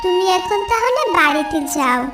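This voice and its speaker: A woman voicing a clever, cunning little female bird in a cartoon, with a high childlike sing-song voice and a coaxing manner. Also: big pitch swings, normal speed. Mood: bossy. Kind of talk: cartoon voice acting. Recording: echoey, distant mic, clear music bed.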